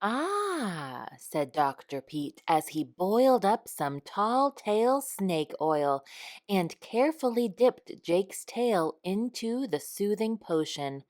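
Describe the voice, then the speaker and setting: A woman reading a children's picture book aloud in an expressive storytime style. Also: mid-range voice. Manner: expressive, unhurried. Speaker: storytime reader.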